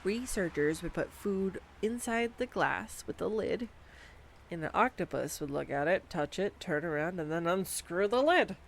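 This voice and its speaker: A voice actress performing a scripted girlfriend roleplay, bubbly and playful as she gushes excitedly over facts at a home mic. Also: lowish voice, normal speed, slight background noise. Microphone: studio condenser mic.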